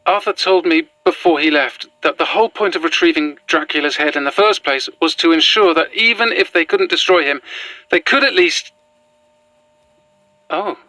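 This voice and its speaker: A worn-out young woman in a found-footage horror drama. Her voice is bright and very dry, with halting, stammered phrasing. She is shaken but stubborn and bitter underneath. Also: sits low, no room sound, rough recording. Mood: weary, shaken. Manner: hesitant, stammering, sighing.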